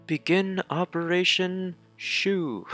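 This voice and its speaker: A man voicing a calm, authoritative military strategist in a video game read-along. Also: high-pitched, takes it slowly, pitched above the performer's own voice, exaggerated voice. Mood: resolute. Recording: game music behind.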